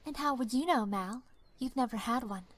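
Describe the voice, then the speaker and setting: A woman's voice: a little high, normal speed, natural voice. A woman playing a vain, fashion-minded teenage girl in a fan dub, breezy and dramatic. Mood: teasing. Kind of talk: amateur fandub acting.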